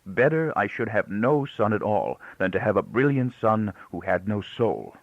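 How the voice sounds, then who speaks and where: Male narrator as grieving elderly rabbi: grave, halting, creaky, widely swelling and falling delivery. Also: lowish voice, animated, no echo, phone-quality sound. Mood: pained, earnest. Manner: quiet, solemn, halting speech with emphatic repetition.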